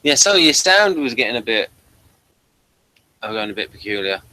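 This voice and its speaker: A man hosting a review show, chatting casually in a hesitant, halting way and often repeating words. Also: mid-range voice, big pitch swings, a crowd in the background, low-quality recording. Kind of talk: casual audio drama review chat.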